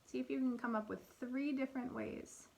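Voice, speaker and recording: A woman's voice: sits high, steady tone, fairly quick, vocal fry, a little mellow, a little hiss or hum. A woman speaking as an elementary teacher, reading and explaining expressively to young children with guiding questions. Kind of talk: read-aloud and lesson for young children.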